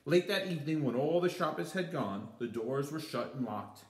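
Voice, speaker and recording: An American man in his forties tells a children's story with theatrical emphasis. His pitch moves widely, and the room adds noticeable echo around his voice. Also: animated. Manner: dramatic, drawn-out emphasis. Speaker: children's read-aloud storyteller. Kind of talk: expressive storybook reading with casual asides. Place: large finished living room indoors. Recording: roomy echo of indoor space.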